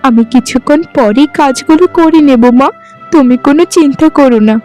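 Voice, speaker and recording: A woman plays a gentle, mistreated stepdaughter in a melodramatic cartoon. Her high voice is pleading and sorrowful. Faint music runs underneath. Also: fairly quick, lightly acted. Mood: meek, apologetic. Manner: pleading, plaintive, meek.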